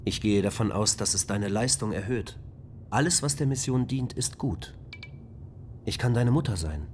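A man's voice: lowish voice, normal speed, darkish tone, slight background noise, lightly acted. An actor playing a polite male ship computer AI in a radio play, speaking formally and logically. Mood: even. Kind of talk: radio drama acting.

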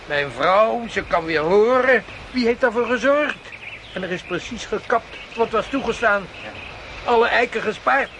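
A man's voice: high-pitched, lively intonation, moderate pace, fairly bright tone. An actor playing a humble, easily flustered peasant husband in a radio play, given to stammering and repeating his words. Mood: overwhelmed gratitude. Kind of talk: Dutch radio play acting. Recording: noisy radio play recording.

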